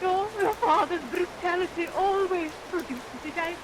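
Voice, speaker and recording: Rain noise covers a vintage radio recording. a high-voiced, middle-aged mother, pious and fussy. She suffers loudly and melodramatically, full of self-pity. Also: moderate pace.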